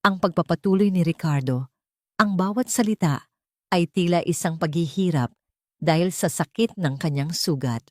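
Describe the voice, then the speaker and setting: Female narrator, low register, solemn and emotive, for dramatic audio fiction; close, dry studio sound. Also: moderate pace, no room sound. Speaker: story narrator.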